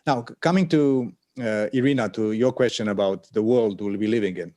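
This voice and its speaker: Mellow-voiced man, measured and thoughtful. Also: on the low side. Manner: measured, thoughtful, with frequent pauses. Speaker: alliance official answering questions. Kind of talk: panel conversation answer. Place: remote location for an online panel. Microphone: video call.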